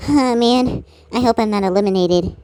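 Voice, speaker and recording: A woman's voice: medium pitch, moderate pace, warm tone, clean tone, no room sound. A woman doing amateur cartoon voice acting in an exaggerated, theatrical manner.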